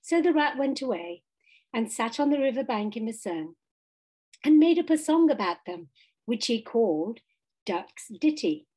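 A woman reading a children's animal story aloud as expressive scripted narration. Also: a little high, normal speed, slightly rough, low-quality recording. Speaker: audiobook reader.

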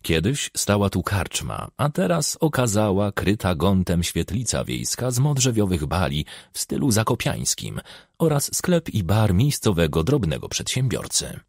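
A man's voice: on the low side, big pitch swings, moderate pace, vocal fry, a little mellow, husky, no room sound, clean studio sound. A man narrating fiction as an audiobook reader, in a scripted storytelling delivery.

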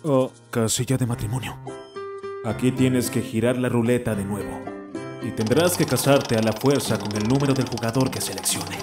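A man's voice: mid-range voice, fairly even intonation, normal speed, lightly acted. A man voicing an exasperated teenage schoolboy in an anime fan dub, dramatic and sarcastic. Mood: brooding. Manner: dramatic, sarcastic, prone to outbursts. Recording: music playing behind the voice.

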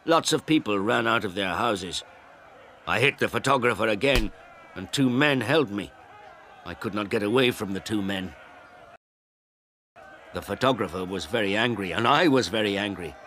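Low-voiced man narrating a simple story. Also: lively intonation, normal speed, long pauses, fairly bright tone. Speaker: audiobook narrator. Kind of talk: scripted narration of a simplified story for English learners.

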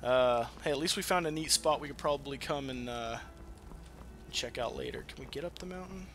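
A man's voice: medium pitch, brisk, over music. A man giving casual, rambling let's play commentary, with some swearing. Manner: rambling, profane. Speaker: gaming video host.

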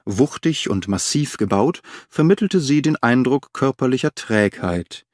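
A man narrating an audiobook in a measured, expressive reading. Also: medium pitch, no echo, clean studio sound.

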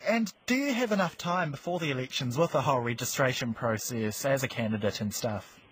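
A man's voice: mid-range voice, animated, fairly quick, fairly bright tone, husky. A man working as a radio news reporter, reading news and asking interview questions. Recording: very noisy analog shortwave radio.

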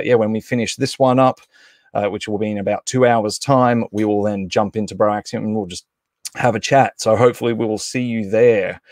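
Low, dry-sounding man hosting a livestream. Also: no room sound. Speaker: livestream host. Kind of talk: casual livestream introduction and announcements.

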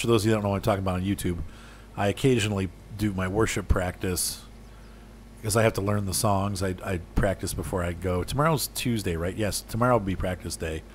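A man's voice: lowish voice, a little hiss or hum. A man chatting casually and rambling to viewers while playing a farming game, laughing often. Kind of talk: casual gameplay chat.

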